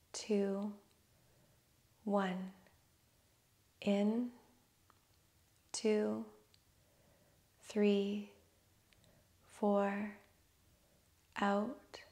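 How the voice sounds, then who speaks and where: A woman leading a guided breathing meditation, counting breaths softly and slipping into whispers. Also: mid-range voice. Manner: slow, soft, soothing counting, drifting into whispers.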